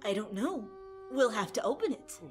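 A woman's voice: on the high side, big pitch swings, normal speed, over music. A woman voicing a pompous, grandly self-assured boy scholar in an audio drama, theatrical and formal.

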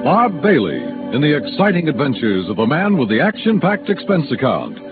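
Animated vintage American radio announcer, male. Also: a little high, normal speed. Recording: muffled old radio recording with loud music.

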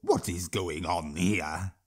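Loud sneering troll guy, jeers and yells, voice pitched up, a man putting it on. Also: slow. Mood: mocking. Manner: jeering, shouting over a crowd. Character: boastful bigoted male troll minister.

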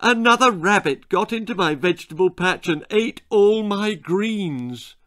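A man's voice: high-pitched, animated, a little slow, fairly bright tone, voice pushed up. A man voicing a distraught, scolding mother who wails and exclaims, in a children's storybook reading. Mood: upset. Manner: wailing, exclaiming.

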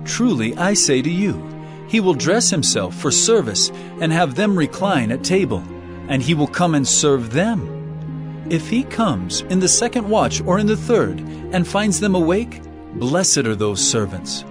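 An actor playing an earnest teacher preaching to his followers in a dramatized scripture reading, with solemn, emphatic, measured oratory. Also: a little high, sing-song, music in the background. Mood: earnest teaching.

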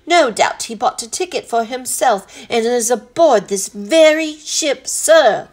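A man's voice: very high voice, expressive, unhurried, pitched above the performer's own voice. A woman voicing a composed male butler in a storybook, speaking with formal, polite courtesy. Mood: smooth explaining.

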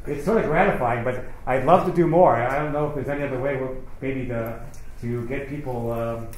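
A man's voice: mid-range voice, brisk, a little mellow. Middle-aged man, conversational lecture, roomy hall echo, faint background hum. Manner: chatty, conversational, frequent restarts. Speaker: surgeon giving a talk. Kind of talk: informal conference lecture. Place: hotel banquet room with seated audience. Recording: echoey room, faint ambient noise.

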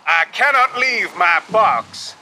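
A man's voice: high-pitched, lively intonation, slow, sharp tone, a little hiss or hum, pitched above the performer's own voice, full character voice. A man voicing a desperate, frightened, half-mad passenger in an audiobook, insistent and frantic. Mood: desperate, stubborn. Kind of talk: audiobook character dialogue.

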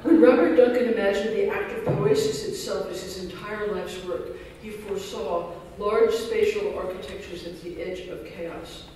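Man, keynote speaker, high mellow voice, dense academic reading, roomy hall from far off. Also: steady tone, normal speed, few pauses, a little hiss or hum. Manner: dense scholarly phrasing, self-deprecating asides. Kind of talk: academic lecture reading a paper. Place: large lecture hall. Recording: echoey hall recorded from far off.

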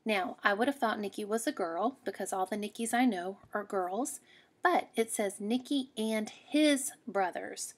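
A woman's voice: medium pitch, a little slow, breathy edge. A woman teacher reading a picture book aloud to young children, explaining patiently and checking in with questions.

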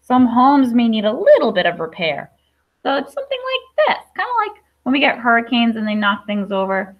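A woman's voice: fairly high pitch, huge pitch swings, brisk, lo-fi sound. A young adult woman teacher reading a picture book aloud to young children, explaining simply with questions and asides. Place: classroom.

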